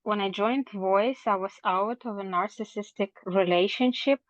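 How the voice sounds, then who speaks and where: A woman's voice: mid-range voice, moderate pace, no echo. A woman giving a heartfelt personal testimonial as a group member, recorded in lo-fi audio.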